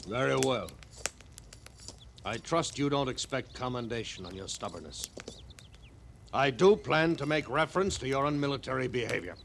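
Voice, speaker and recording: An actor playing a rigid, haughty army major in an old-time radio western, formal, stiff and indignant. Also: male voice, mid-range voice, lively intonation, normal speed, vocal fry, pauses often. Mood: haughty, disapproving. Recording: slightly noisy vintage radio recording.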